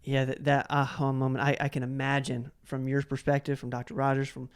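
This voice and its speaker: A man's voice: medium pitch, completely flat delivery, brisk, smooth. A man hosting a casual conversational interview, enthusiastic and rambling, often repeating his words. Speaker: podcast host and interviewer.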